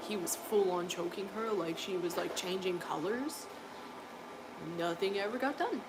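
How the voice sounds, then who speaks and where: A young woman from coastal North Carolina with a deep voice, chatting casually on a livestream and answering viewers' comments with lots of filler words. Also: very noisy. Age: young adult. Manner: rambling, filler-heavy, exasperated. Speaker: livestream host.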